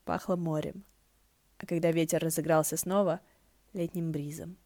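Female audiobook narrator, expressive storytelling, mellow tone, close dry recording. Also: low-pitched, normal speed, dry recording. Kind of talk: expressive scripted narration of fan fiction.

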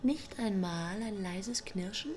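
A woman playing a persistent angel in a radio drama, questioning quietly and pointedly. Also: mid-range voice, slow, soft background music, lightly acted. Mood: probing, insistent. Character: persistent, needling female angel watching over a prophet.

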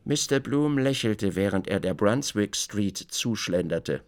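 An actor playing a literary storyteller, narrating a radio drama in a creaky voice. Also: male voice, fairly low pitch, lively intonation, unhurried. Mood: measured storytelling. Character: literary storyteller, also voicing the protagonist's brief remarks.

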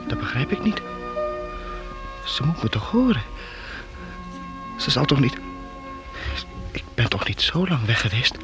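A man's voice: medium pitch, big pitch swings, measured pace, long pauses, music in the background. An actor playing an eager, kind-hearted boy in a radio play, earnest and a little hesitant. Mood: anxious, tender. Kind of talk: radio drama acting.

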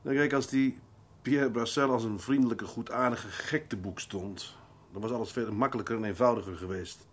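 Actor as dry, shrewd senior police detective. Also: male voice, medium pitch, normal speed, close to an everyday voice. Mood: pensive, firm. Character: shrewd, unhurried senior male police detective, dryly stubborn. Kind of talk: Dutch radio drama acting.

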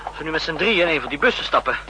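Quick-witted fugitive spy, vintage radio play, higher male voice, lively intonation, noisy old recording. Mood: alert, reasoning. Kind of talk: 1960s Dutch radio play acting.

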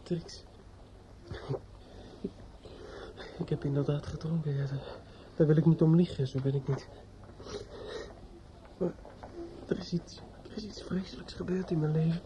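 A man's voice: fairly high pitch, steady tone, measured pace, long pauses, close to an everyday voice. An actor playing a drunk, bitter, grieving judge's son in a radio play, stammering and repeating himself as he broods. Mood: drunken anguish. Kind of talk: radio drama acting with inner monologue. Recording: muffled, noisy old radio play recording.

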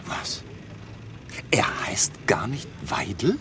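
An actor playing a fussy, nervy refugee in a radio drama, agitated and halting, with a self-important manner. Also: male voice, on the low side, huge pitch swings, in a whisper, full character voice. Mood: puzzled. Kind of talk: German radio drama dialogue.

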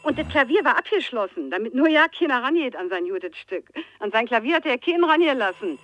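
A woman's voice: high voice, big pitch swings, a little fast. A woman playing a chatty, gossipy working-class cleaning lady in a radio drama, rambling colloquially and eager to tell. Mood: rambling, confiding. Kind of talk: German radio crime drama acting. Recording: slightly dull, dry radio recording.

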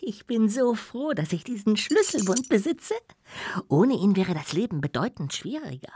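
A woman's voice: medium pitch, big pitch swings, normal speed, background noise, lightly acted. A woman playing a small, cheeky, cheerful ghost with playful, courteous phrasing in a children's radio play. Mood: pleased.

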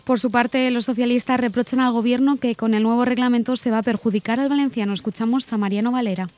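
Fast-reading female news presenter. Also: on the high side. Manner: brisk, even newsreader delivery. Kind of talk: scripted news narration.

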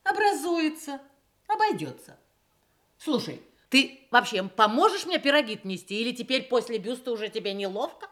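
A woman's voice: a little high, very animated, moderate pace, fairly bright tone. A woman acting a bustling, teasing old mother and proud home cook in a Soviet radio play. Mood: teasing, soothing.

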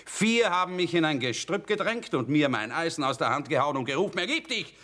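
A bold young soldier with a bright, forceful voice and lively swings of pitch. He swaggers and boasts as he talks, in theatrical radio drama style. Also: a little fast. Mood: boastful. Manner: swaggering storytelling. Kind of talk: theatrical radio play acting.

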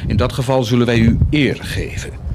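A man's voice: mid-range voice, fairly even intonation, normal speed, background noise, close to an everyday voice. A man acting a ruthless, cynical military commander in a radio play, teasing and ironic with theatrical delivery. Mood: mocking, expansive.

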